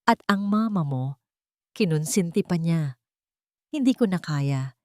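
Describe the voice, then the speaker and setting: A woman's voice: on the low side, lively intonation, a little slow, mellow, no echo. A woman voicing a fed-up wronged wife in a story, emphatic and pleading. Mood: pained, pleading.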